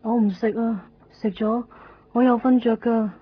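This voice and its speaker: A woman's voice: fairly high pitch, fairly even intonation, measured pace. An actress playing a frail, wistful young woman patient, pleading and sighing drowsily in a radio drama. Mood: drowsy pleading. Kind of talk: radio drama acting with first-person monologue. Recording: tinny band-limited 1990s radio, faint music.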